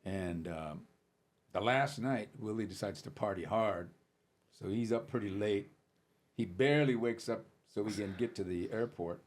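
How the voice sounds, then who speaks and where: An older man with a husky, slightly breathy voice tells stories from his past on a casual podcast. He sounds dry and matter-of-fact, and he slips into the voices of the people he is quoting. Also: lowish voice, moderate pace. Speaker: comedian guest.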